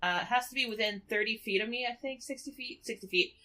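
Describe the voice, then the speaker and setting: A woman's voice: fairly high pitch, fast talker, pushed and bright. A woman player in a tabletop role-playing game, casually describing her character's actions and thinking through rules.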